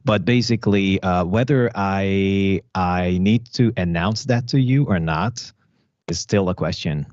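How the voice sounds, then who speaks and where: A man speaking as a panelist at a round table, halting and using many fillers. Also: low-pitched, darkish tone, no room sound, muffled recording.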